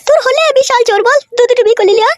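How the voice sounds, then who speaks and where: Squeaky, nagging village wife. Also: female voice, rapid-fire, no room sound. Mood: jealous, nagging. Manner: nagging, accusing, coarse. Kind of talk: comic cartoon dialogue.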